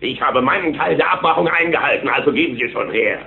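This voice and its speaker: An actor playing a menacing, haughty sea captain with a theatrical, scornful delivery in a cartoon audio drama. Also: male voice, fairly high pitch, lively intonation, quick pace, vocal fry, faint music behind. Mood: haughty, secretive. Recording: muffled, roomy old cassette.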